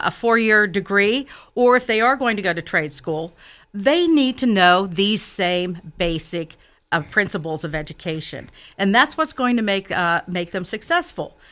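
A middle-aged woman from Western Pennsylvania, a political candidate answering interview questions earnestly, often pausing and restarting. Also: medium pitch, expressive, bright, forceful tone, clear, resonant tone. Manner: earnest, halting with fillers and restarts.

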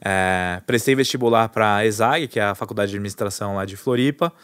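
A Brazilian man in his thirties, a guest entrepreneur telling his story in a relaxed, chatty way, with lots of fillers and restarts. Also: on the low side, brisk, smooth, dry recording, studio quality. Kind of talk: casual storytelling interview.

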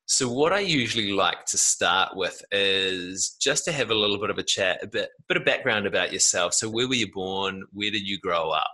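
Low-voiced easygoing male podcast host. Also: animated, moderate pace, few pauses, pushed and bright. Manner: easygoing, rambling with small restarts. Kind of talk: relaxed podcast interview.

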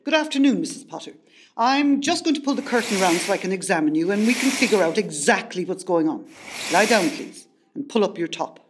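A woman acts a businesslike hospital doctor in a radio play. She has a medium-pitched voice with plenty of movement in it, and sounds formal, clinical and frank. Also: animated, brisk, noisy background, lightly acted. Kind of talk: comedy radio play acting.

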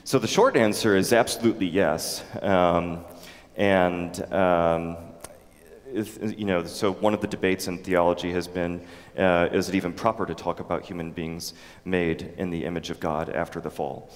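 Deep-voiced man lecturing in a hall. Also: steady tone. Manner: halting, many ums and restarts. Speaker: teacher. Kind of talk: theology lecture with audience questions. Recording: echoey hall with long reverb.

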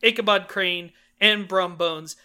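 Brief: male co-host, high bright voice, animated, quick to laugh. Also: clear, resonant tone. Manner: animated and jokey, laughs often. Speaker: podcast co-host. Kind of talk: casual chat reviewing old cartoons.

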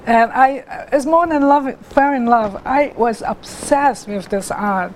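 A middle-aged woman, telling her life story as a guest artist in an interview, haltingly, with many restarts and pauses. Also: on the high side, a little mellow, slight background noise, low-quality recording. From Hong Kong.

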